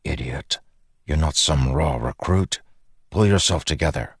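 Man playing a gruff-edged, deep, stern war leader. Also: measured pace, dry recording, clean studio sound, full character voice. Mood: self-urging. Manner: firm, terse, blunt. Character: stern male war leader, guarded, stubborn, defiant. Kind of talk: audiobook fantasy dialogue.

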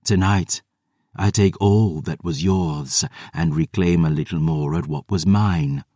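A man's voice: low voice, a little slow, dark tone, no room sound, clean studio sound. Man voicing a defiant young vampire woman. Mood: cold defiant.

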